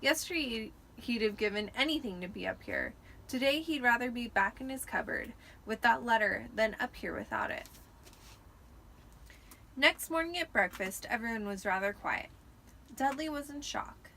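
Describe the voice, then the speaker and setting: A woman reads a story aloud dramatically, slightly drunk. Her voice is fairly high and very bright, with faint noise behind it. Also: animated, normal speed. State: tipsy from wine. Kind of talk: dramatic amateur audiobook narration.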